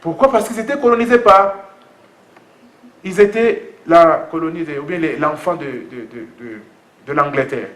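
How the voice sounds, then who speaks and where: High-pitched preacher, echoing room. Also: male voice, fairly bright tone. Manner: repetitive, halting, questioning the congregation. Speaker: pastor preaching. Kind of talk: sermon in short phrases, pausing for an interpreter. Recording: roomy, echoing church sound.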